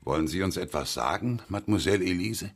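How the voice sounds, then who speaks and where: Kindly formal doctor, very low creaky voice that swings around, radio play. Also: male voice, lively intonation, fast talker, a little hiss or hum. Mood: courteous. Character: encouraging, patient male doctor or therapist. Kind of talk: radio drama acting.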